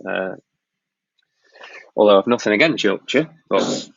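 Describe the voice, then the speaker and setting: Live-stream host from Lancashire, laid-back and rambling; slightly dull lo-fi sound. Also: male voice, on the low side, a little slow, vocal fry, husky, no room sound. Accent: Northern English (Lancashire). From Blackpool, Lancashire, northwest England. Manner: relaxed, rambling, frequent ums and restarts. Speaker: wedding filmmaker hosting a live Q&A. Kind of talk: casual live-stream Q&A, reading out chat questions.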